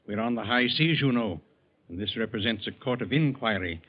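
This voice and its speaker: An actor playing a formal, authoritative ship's captain in a 1940s radio drama, speaking in an official, measured manner. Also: male voice, mid-range voice, animated, natural voice. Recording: tinny vintage radio broadcast.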